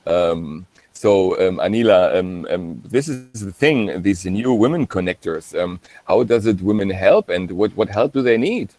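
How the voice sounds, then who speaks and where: A man's voice: sits low, animated, a little slow, few pauses, darkish tone, no room sound. A man hosting an informal video-call panel, talking hesitantly with many ums and uhs. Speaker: panel host. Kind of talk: informal online panel talk.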